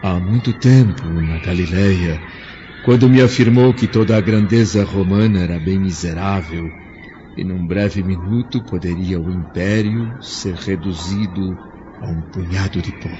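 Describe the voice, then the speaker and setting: An actor playing an aging Roman senator in a radio drama, solemn and pleading. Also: male voice, sits low, unhurried. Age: older. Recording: dull lo-fi audio with loud noise.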